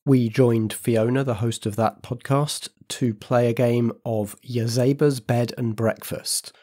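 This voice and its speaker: A British man runs a tabletop role-playing game as its host. He talks casually, moving between narrating scenes and explaining rules, in a medium-pitched voice. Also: a little mellow. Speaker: game master and podcast host.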